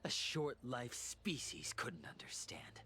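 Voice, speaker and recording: An actor playing a gloating, unhinged cult fanatic in a video game, with a theatrical, grandiose manner. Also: male voice, mid-range voice, sing-song, slow pace, bright, forceful tone, heavily acted. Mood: fervent, unhinged. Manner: theatrical, grandiose, with whispers and manic laughter. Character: fanatical male cult disciple worshipping immortality, gloating and unhinged. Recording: game audio with music.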